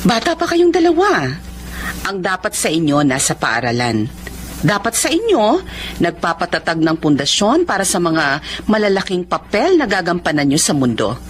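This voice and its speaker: A woman's voice: fairly low pitch, sing-song, normal speed. An actress in a Filipino drama playing a generous, well-off woman who helps young students and mixes English into her Tagalog. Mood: warm, encouraging.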